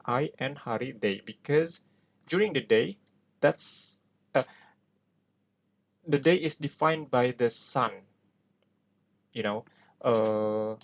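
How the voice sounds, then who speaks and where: A man's voice: medium pitch, vocal fry. A man with an Indonesian accent who speaks English as a second language. He talks through ideas casually and hesitantly, with many fillers, as the host of an explainer. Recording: dull lo-fi recording.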